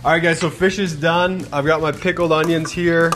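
A man in his thirties hosting a cooking demonstration in a studio kitchen, chatty and energetic, talking straight to the viewers. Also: a little high, moderate pace. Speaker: cooking show host. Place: home-style studio kitchen, indoors. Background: background music, sizzling pan.

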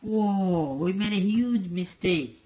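A woman's voice: mid-range voice, slow pace, warm tone, poor audio. A woman narrating toy train runs in an upbeat, casual way while playing with a child. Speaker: toy demonstrator. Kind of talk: casual toy play commentary.

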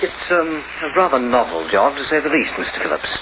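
An actor playing a formal, polite, scheming bank cashier in an old-time radio crime drama. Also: male voice, mid-range voice, sing-song, a little fast, natural voice. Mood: polite, businesslike. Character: smooth-talking, scheming male bank cashier. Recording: muffled, noisy old radio recording.

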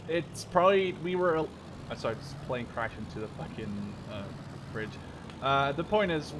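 A man in his twenties hosting a gaming video, giving casual, excitable commentary and swearing often. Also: medium pitch. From Netherlands. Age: 20s. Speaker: gaming channel host. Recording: noisy game audio behind voice.

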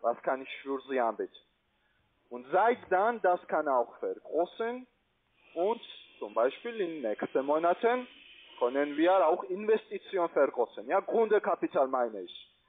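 A man with a foreign accent giving a pushy, repetitive phone sales pitch in halting non-native German. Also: very animated. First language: not German. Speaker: call centre investment salesman. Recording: tinny band-limited phone line.

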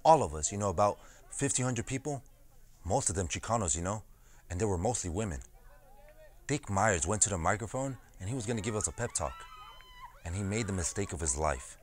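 Casting: young male actor as plain-spoken union organizer from the fields, low voice, conversational oral-history storytelling. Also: animated, fairly quick, lightly acted. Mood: wry, recounting. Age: 20s. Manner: conversational storytelling with frequent "you know". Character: farmworker turned union organizer, streetwise and plain-spoken, recounting old struggles. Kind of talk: dramatized oral-history monologue.

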